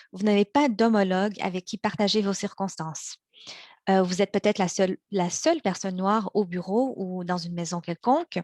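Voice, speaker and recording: A young woman born in China and raised in Montreal, giving a prepared practice speech in a measured way, slowing on key words, with small restarts. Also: medium pitch, a little mellow, dry recording, studio quality. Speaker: practice speaker for interpreters.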